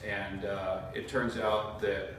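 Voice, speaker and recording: Brief: thirties male hobby reviewer, steady and methodical, slightly low voice, boomy room, mic far off. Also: slow, heavy reverb, background noise. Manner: methodical, with frequent uh fillers. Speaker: model aircraft reviewer. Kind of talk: product review talk to camera. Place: indoor green screen studio.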